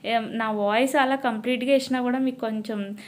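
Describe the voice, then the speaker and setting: A woman's voice: fairly high pitch, steady tone, brisk. A woman with a Telugu accent, chatting casually to viewers as a shopping vlogger, mixing in English words. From India. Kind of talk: casual shopping vlog voice-over.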